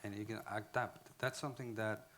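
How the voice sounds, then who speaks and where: A man's voice: fairly low pitch, fairly even intonation, fairly bright tone, a little hiss or hum. A man with an Icelandic accent giving a conference talk in English, often restarting and correcting himself. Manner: halting, restarts phrases, self-corrects. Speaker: conference speaker.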